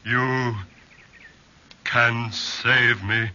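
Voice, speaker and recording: A man playing a lonely, pleading, hideous man-made giant in old-time radio horror, speaking in halting, deliberate phrases. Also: mid-range voice, level tone, sharp tone, exaggerated voice. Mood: pleading, wounded. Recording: dull old radio broadcast recording.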